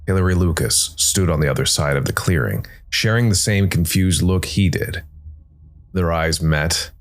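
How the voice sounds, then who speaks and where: A man hosting and narrating a scripted story podcast in a measured way, with dramatic pauses. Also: deep voice. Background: soft music bed.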